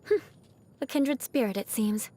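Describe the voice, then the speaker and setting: A woman voicing a proud young noblewoman knight in a video game, composed and haughty, with formal diction and a grudge-holding edge over a softer heart. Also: a little high, a little slow. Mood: grudging fondness. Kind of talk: scripted video game character voice lines.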